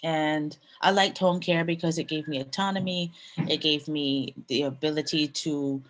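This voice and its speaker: A woman who grew up in Canada, a nurse and business owner, telling her story in a relaxed way with pauses and fillers. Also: deep voice. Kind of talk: casual interview storytelling.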